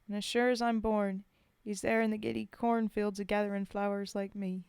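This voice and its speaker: A woman reading verse in the voice of a rough, common male soldier from the First World War who mourns a fallen comrade, speaking in folksy working-class dialect. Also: medium pitch, little pitch movement, measured pace, warm tone, no room sound, studio quality, close to an everyday voice. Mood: wistful. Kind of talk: poetry reading.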